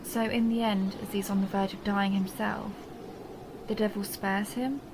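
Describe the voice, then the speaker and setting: A woman playing a seductive, possessive vampire countess in an intimate audio roleplay, speaking slowly and teasingly, often dropping toward a breathy whisper. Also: mid-range voice, flat delivery, noisy background, close to an everyday voice. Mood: curious, amused. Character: seductive possessive vampire countess toying with her human captive. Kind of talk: intimate dark romance audio roleplay, ASMR-like.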